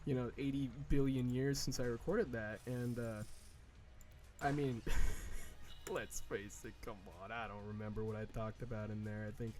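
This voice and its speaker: A man's voice: mid-range voice, fairly even intonation, darkish tone, faint music behind. A man in his early twenties chatting casually while playing a game, rambling with many fillers, restarts and swearing. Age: early 20s. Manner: slow, drawn-out mock whining in quoted asides. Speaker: gaming video creator.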